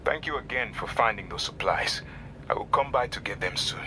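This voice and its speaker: A man's voice: mid-range voice, animated, normal speed, background noise, lightly acted. An actor playing an earnest, guilt-laden immigrant man in a video game, speaking formally. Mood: earnest, solemn. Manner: formal, solemn.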